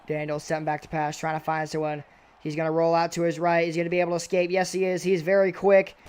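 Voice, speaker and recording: A young man doing play-by-play commentary on a video game football match, excitable and a little raspy, his voice jumping on big plays. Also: fast. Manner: excitable, voice jumps high and loud on big plays.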